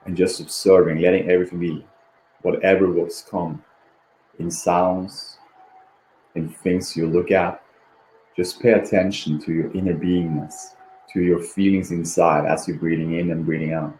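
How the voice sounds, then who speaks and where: A man leading a guided meditation in a gentle, repetitive, unhurried manner, with long pauses and a background. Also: sits low, level tone. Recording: roomy echo, faint background noise.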